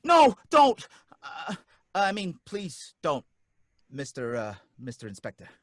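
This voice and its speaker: An actor playing a nervous, put-upon cab driver who stammers and pleads in folksy slang, in a live old-time radio drama. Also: male voice, fairly high pitch, huge pitch swings, takes it slowly, a bit bright, no room sound, clean studio sound, full character voice. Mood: pleading.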